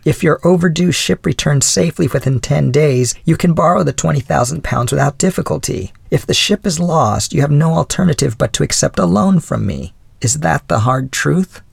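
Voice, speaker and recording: Storybook reading: a courteous, wealthy old widow, as a male reader puts her on, pitched higher than his narration, dry close sound. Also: gravelly, husky, no echo, full character voice. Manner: earnest, formal and courteous. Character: gracious wealthy elderly widow woman.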